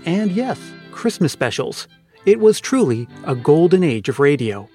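A man's voice: high-pitched, darkish tone. A man hosting a podcast with scripted narration. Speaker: podcast host.

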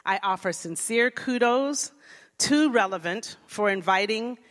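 A woman from Jamaica addresses a church congregation in a lively, direct way. Her voice is bright, and the long reverb of the sanctuary trails after each phrase. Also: medium pitch, unhurried. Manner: lively, engages congregation, softens into prayer. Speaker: guest speaker. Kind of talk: church sermon talk. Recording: long reverb of a large hall.

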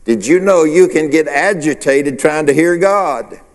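A man's voice: fairly high pitch, lively intonation, unhurried, big-room sound. A male pastor preaching a sermon, asking the congregation questions and answering them himself. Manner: preacherly call-and-response, rhetorical questions.

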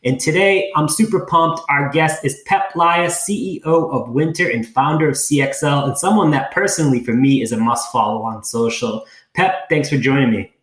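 A man's voice: mid-range voice, normal speed, a bit bright. Male podcast host, upbeat and eager, echoey room sound. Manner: upbeat, stammers on restarts. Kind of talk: casual interview hosting.

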